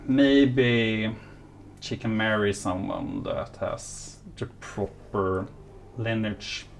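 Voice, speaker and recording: A man's voice: fairly low pitch, vocal fry, exaggerated voice. A man playing a haughty Regency noblewoman. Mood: cold, stern. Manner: imperious, halting, curt. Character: haughty, impatient Regency noblewoman, cold and commanding mother. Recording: faint background noise.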